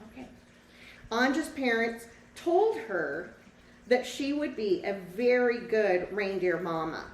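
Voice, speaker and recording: A woman teacher reading a picture book to children expressively, with simple, casual explanations along the way. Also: medium pitch. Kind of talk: children's read-aloud with casual asides to the class. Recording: roomy echo, distant mic, faint background noise.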